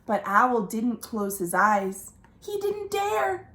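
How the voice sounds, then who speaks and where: A woman reading a picture book aloud for young children as a storytime host, animated, with questions to listeners and dramatic pauses. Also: fairly high pitch. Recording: roomy, echoing indoor sound.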